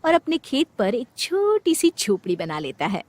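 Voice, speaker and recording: A woman narrating a scripted horror story with an expressive, dramatic delivery. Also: fairly high pitch, moderate pace, slight background noise.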